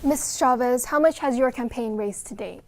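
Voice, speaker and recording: This woman moderates a candidate forum, reading her questions in a measured, formal manner. Her voice sits high. She addresses each candidate in turn. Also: slight background noise.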